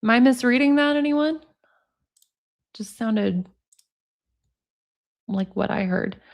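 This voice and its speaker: A woman's voice: fairly high pitch, takes it slowly. A woman in her forties hosting a livestream. She reads text messages aloud and chats with viewers in the comments. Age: 40s. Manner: chatty, talks to viewers in the comments. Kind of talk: casual livestream chat, reading texts aloud.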